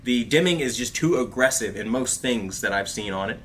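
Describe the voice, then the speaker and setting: A man hosting a casual livestream, talking in an animated, chatty way with plenty of fillers and calling his viewers bro and dude. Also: medium pitch, moderate pace, husky. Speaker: host of a TV review livestream. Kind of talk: casual livestream chat reading viewer comments.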